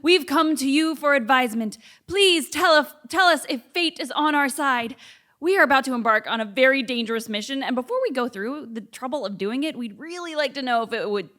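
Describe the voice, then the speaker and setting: A woman's voice: high-pitched, expressive, a little fast, a bit bright. A woman playing an earnest, anxious rebel seeking guidance, speaking with dramatic formality in a comic stage play. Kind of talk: comic stage acting before a live audience. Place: theatre stage, live performance.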